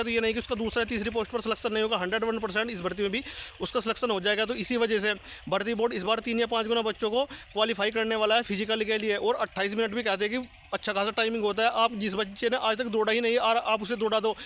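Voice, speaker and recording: Fast, high-pitched young man. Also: flat delivery, fairly bright tone, a little hiss or hum. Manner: insistent, repetitive, urging. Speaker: YouTube exam-prep channel host. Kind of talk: direct-to-viewer advice monologue.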